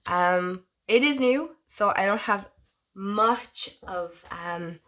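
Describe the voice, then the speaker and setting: Hoarse woman gushing over tarot decks. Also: medium pitch, takes it slowly, fairly bright tone. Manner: gushing, rambling, chatty. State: hoarse from a head cold. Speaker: tarot channel host. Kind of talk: casual show-and-tell vlog.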